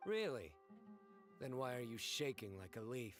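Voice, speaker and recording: An actor playing a stern, composed young lawman in a video game, speaking in a deliberate, accusatory way. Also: medium pitch, sing-song, a bit bright, faint music behind. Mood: accusing, stern.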